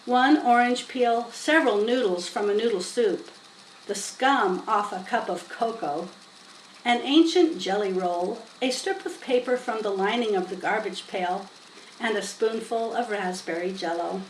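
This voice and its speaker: Woman reading a kids book aloud, expressive, echoey room, faint noise. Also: medium pitch, a little slow. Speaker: storybook reader. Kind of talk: expressive children's story narration. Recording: roomy, distant mic, faint noise.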